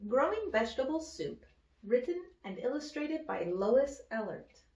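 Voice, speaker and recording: A woman in her thirties reading a children's picture book aloud like an early education teacher, with simple, deliberate phrasing and little asides. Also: sits high, sing-song, darkish tone. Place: indoor room with wood-paneled wall. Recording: echoey room, slightly dull sound.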